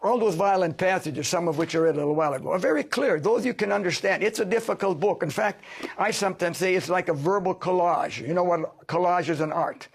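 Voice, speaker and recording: A man's voice: high-pitched, a little fast, creaky, breathy edge. An older man answering interview questions as a sociologist, emphatic and lecturing, asking himself rhetorical questions.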